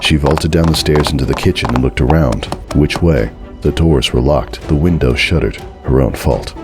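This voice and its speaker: Male narrator, extremely low pitch, dramatic horror storytelling with clearly audible music underneath. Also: moderate pace.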